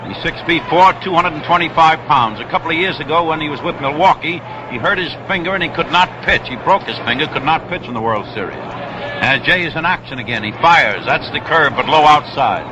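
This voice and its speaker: A man's voice: a little high, expressive, a little fast, highs cut off. Vintage radio baseball announcer, man, noisy broadcast. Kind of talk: live 1960s radio sports commentary. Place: outdoors at a baseball stadium.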